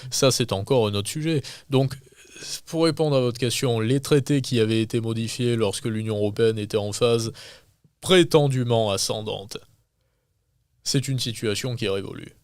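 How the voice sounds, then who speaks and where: A man from France, speaking as a guest in a podcast interview, giving measured, emphatic, critical commentary. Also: medium pitch, a little mellow, smooth, no room sound, clean studio sound. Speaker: guest analyst.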